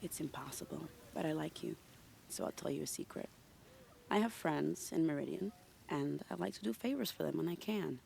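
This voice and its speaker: A woman playing a sly, secretive, charming spy in a video game, teasing in a silky, unhurried way. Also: sits low, gravelly, mellow, a little hiss or hum. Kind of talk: video game cutscene acting.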